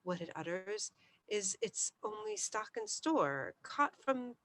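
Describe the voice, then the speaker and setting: Slow-paced woman reading gothic poetry theatrically. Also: medium pitch, wildly swooping pitch, gravelly. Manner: deliberate, theatrical, hushed at times. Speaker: storyteller.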